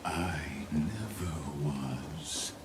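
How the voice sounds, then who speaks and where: An actor playing a cold, courtly genius devoted to destruction, speaking formally and deliberately, with breathy phrase endings. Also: male voice, very deep voice, lively intonation, background noise, exaggerated voice. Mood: cold detachment.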